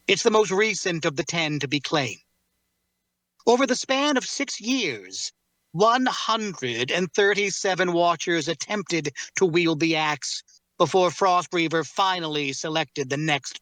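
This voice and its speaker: A man playing a solemn, learned warrior who bears a cursed sword, speaking in a measured, formal way in a fantasy drama reading. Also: on the high side, a bit bright, dry recording, poor audio. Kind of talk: dramatic fantasy table read.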